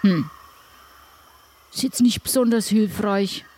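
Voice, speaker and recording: Man improvising casually amid clear noise. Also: sits high, takes it slowly, a little mellow. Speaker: player in an improvised adventure. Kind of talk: casual improvised audio play. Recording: clear background ambient noise.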